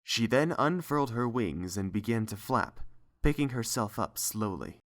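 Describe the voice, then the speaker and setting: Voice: medium pitch, lively intonation, normal speed. A narrator reading scripted story prose.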